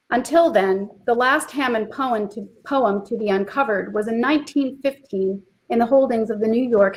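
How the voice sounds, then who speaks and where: A woman presenting literary history in clear, emphatic scripted narration. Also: fairly high pitch, low-quality recording.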